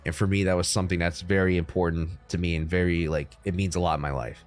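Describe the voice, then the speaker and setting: A young American man hosts a casual livestream from his room at home, chatting with viewers in a loose, rambling way full of ums and likes. His voice sits a little low, and faint music plays behind him. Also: level tone, fast. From United States. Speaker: crypto livestream host.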